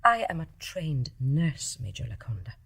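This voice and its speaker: An actress playing a principled, stubborn English nurse in a period radio drama, speaking precisely and formally with firm insistence. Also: female voice, low voice, big pitch swings, moderate pace, creaky, fairly bright tone, slightly rough, full character voice. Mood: defiant. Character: principled, stubborn private nurse making a grave accusation. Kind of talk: 1920s stage play as radio drama.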